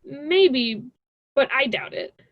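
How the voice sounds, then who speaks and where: A woman's voice: high-pitched, animated, takes it slowly, no echo, highs cut off. A young woman playing a stubborn, sarcastic college student in a radio drama, with a dismissive conversational delivery. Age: young adult.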